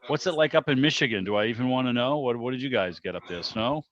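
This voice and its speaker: A man's voice: mid-range voice, fast talker, a bit bright. An American man hosting an online club meeting in a chatty, informal, meandering way, with casual welcomes and questions. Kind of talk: casual spoken welcome and interview. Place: indoors on a video call. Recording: muffled, roomy video-call audio.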